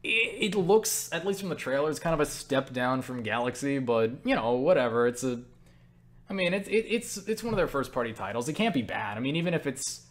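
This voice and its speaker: A man's voice: medium pitch, very animated, brisk. A man talking in a casual, rambling monologue as he answers viewer questions, stumbling over words, interrupting himself and speaking bluntly. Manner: blunt, swears freely.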